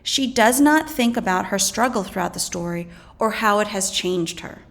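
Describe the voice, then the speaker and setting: A woman's voice: mid-range voice, expressive, moderate pace, creaky, fairly bright tone. A woman reading educational material aloud as a teacher, in an instructional way that explains and poses questions. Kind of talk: scripted educational read-aloud narration.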